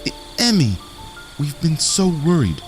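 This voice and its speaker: Worried, reproachful father played by a man, hugely varied intonation, storybook drama, soft music behind. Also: on the high side, wildly swooping pitch, a little slow. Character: worried, scolding father of young girl. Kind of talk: dramatized audiobook acting.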